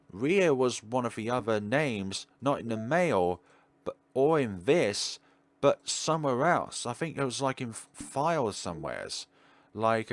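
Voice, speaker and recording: A man giving casual playthrough commentary as a gaming video host, thinking aloud with questions and trailing pauses. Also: mid-range voice, dry recording. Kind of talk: casual playthrough commentary, partly reading on-screen text aloud.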